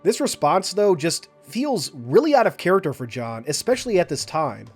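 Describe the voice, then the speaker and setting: Male host, scripted analysis narration, a bit high, over soft music. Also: very animated, moderate pace, husky. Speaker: lore video host.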